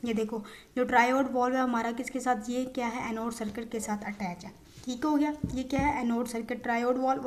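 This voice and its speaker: A young woman with a high voice explains material like a teacher in an informal lecture. She circles back over each step, posing questions and answering them herself. English technical terms are mixed into her speech. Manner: repetitive, asks and answers own questions. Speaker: physiotherapy teacher.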